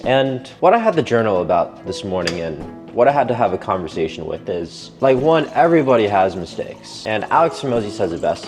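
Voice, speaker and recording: A young man in his twenties speaks in a lowish voice, casual and candid, dropping swear words as he reflects. The sound is roomy, with loud guitar music playing behind him. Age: 20s. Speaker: vlogger. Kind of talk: reflective personal vlog. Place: apartment.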